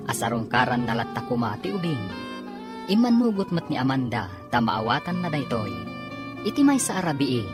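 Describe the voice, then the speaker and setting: A woman with a Filipino accent reading scripted narration as the narrator of a radio drama. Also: rumbling low.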